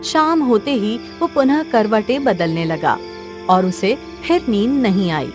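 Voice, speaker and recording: A woman's voice: medium pitch, lively intonation, unhurried, music in the background. Woman narrating a storybook tale. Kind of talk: scripted cartoon story narration.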